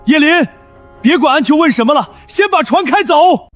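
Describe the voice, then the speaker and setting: A brash, high-voiced, impatient survivor. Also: male voice, animated, moderate pace, soft background music. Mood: urgent. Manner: blunt, pushy. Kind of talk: Chinese motion-comic drama acting.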